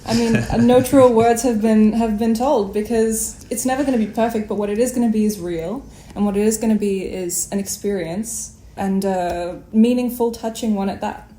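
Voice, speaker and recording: A woman's voice: a little high, normal speed, hardly any pauses, mellow. A woman podcast host chatting in an effusive, encouraging, conversational interview style. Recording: echoey room.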